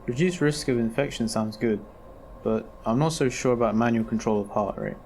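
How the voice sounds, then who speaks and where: A man's voice: mid-range voice, moderate pace, a little mellow, a little hiss or hum, lightly acted. A man playing a cautious, inquisitive patient weighing up his options in an amateur audio drama. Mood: cautious, considering.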